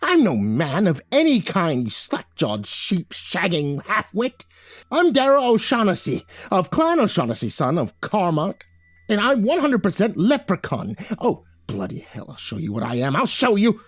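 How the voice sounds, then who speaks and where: A man voicing a tiny, ancient male leprechaun in a storybook, foul-mouthed and cantankerous, shouting and growling indignant insults. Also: medium pitch, a little fast, hardly any pauses, slightly rough, no echo, natural voice. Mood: furious growling.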